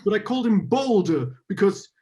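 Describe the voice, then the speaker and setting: A man playing an obsessive, loud English football fan, ranting in a blokey way. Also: high voice, lively intonation, moderate pace, voice pushed up. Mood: proud.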